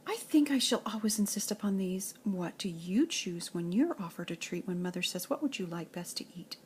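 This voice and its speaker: An older woman, a grandmother, reading nursery rhymes and children's poems aloud in an expressive, playful sing-song way. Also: mid-range voice, moderate pace, slight background noise.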